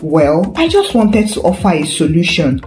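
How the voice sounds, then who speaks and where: A woman's voice: fairly low pitch, sing-song, moderate pace, darkish tone. A woman storyteller playing a scheming male church rival who feigns concern in a theatrical, unctuous way. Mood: feigned sympathy. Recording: roomy echo with faint music.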